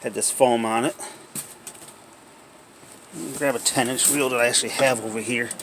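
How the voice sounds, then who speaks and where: A man's voice: mid-range voice, steady tone, moderate pace, noisy background. A man talking casually and off the cuff as he narrates an unboxing video, recorded indoors at home on a handheld cell phone. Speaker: amateur unboxing video maker.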